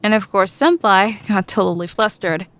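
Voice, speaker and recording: A woman's voice: medium pitch, moderate pace, fairly bright tone, no room sound, lightly acted. A woman voicing a gossipy teenage schoolgirl in an anime fan radio play, chatty and matter-of-fact.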